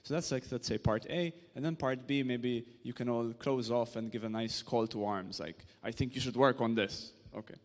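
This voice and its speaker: A man's voice: mid-range voice, moderate pace, few pauses. A man moderating an academic panel, putting questions informally to the speakers in a large hall. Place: large hall with seated audience. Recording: very reverberant hall.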